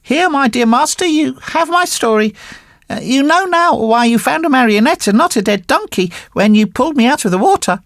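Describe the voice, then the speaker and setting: A man's voice: animated, normal speed, a bit bright, no room sound, studio quality, heavily acted. A man voicing a cheeky, sly wooden marionette boy in an audiobook, teasing and boastful. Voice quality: high, gravelly and mocking. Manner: playful, teasing storytelling. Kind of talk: audiobook reading.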